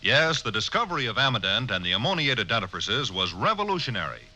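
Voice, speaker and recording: A man reading a 1940s radio commercial in a crisp, emphatic way. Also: medium pitch, sing-song, fast, pushed and bright. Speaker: announcer.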